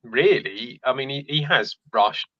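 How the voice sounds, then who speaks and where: A man's voice: medium pitch, animated, measured pace, no echo, dull audio. A man talking through sports analysis casually as a podcast panelist.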